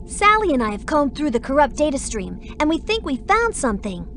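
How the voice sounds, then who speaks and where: A woman voicing a determined, spirited young girl adventurer in a cartoon computer game, brisk and earnest. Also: sits high, very animated, fairly bright tone, a little hiss or hum.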